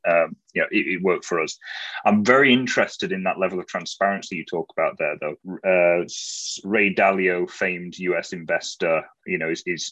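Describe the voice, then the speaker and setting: A man interviewing a business guest, asking quick follow-up questions in a conversational tone. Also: sits low, a bit bright, breathy edge. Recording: dull lo-fi sound.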